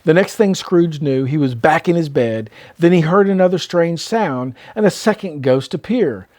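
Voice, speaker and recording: An older man tells a children's story in a dry, close-sounding recording. His voice sits a little high for a man, and he reads aloud in an expressive, measured way. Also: dry recording.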